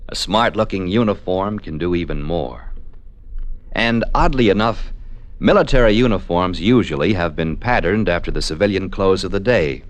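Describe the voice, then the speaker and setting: A male announcer reading a scripted radio segment with a smooth, even delivery. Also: low-pitched.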